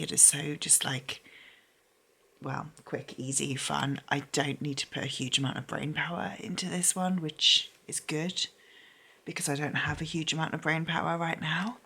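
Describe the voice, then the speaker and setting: A woman's voice: low voice, gravelly, pushed and bright, husky. A woman vlogging about books in a rambling, casual way, full of fillers and restarts. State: losing her voice, tired and unwell. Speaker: book vlogger. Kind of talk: casual chat.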